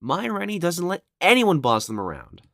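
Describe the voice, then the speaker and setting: A woman playing an excitable, cheerful young monster gamer in an audio roleplay, eager and supportive. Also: fairly low pitch, moderate pace, no room sound, clean studio sound, exaggerated voice. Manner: eager, enthusiastic.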